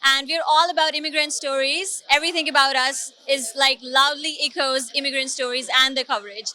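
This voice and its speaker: A woman's voice: sits high, steady tone, a little fast, sharp tone. A woman reporter interviewing guests at a film premiere, effusive and enthusiastic. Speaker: TV event reporter. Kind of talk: red-carpet interview.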